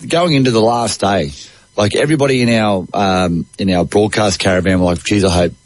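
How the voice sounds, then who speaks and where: A middle-aged Australian man talks sport as a radio host, matey and conversational. His voice sits fairly low, and the sound is close and dry, with little room on it. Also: fairly quick, no room sound. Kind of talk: casual radio sports chat. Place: radio studio.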